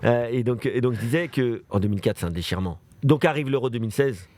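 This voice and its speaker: A man's voice: mid-range voice, quick pace, a little mellow. A man in his thirties from France, a football show presenter, telling stories in casual talk and repeating phrases for emphasis. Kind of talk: casual chat on a livestream.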